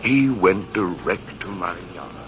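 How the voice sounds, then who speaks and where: A muffled vintage radio drama with heavy hiss. an army major with an extremely deep, creaky voice. He reminisces in a measured, theatrical style. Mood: reminiscing. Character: older army major recalling a past rival, reflective, measured. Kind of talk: 1940s radio drama acting.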